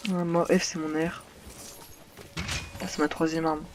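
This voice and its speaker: A man chatting casually with another player during an online game, relaxed and quick to laugh. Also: a little high, slight background noise. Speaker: fellow player. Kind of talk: casual gaming chat.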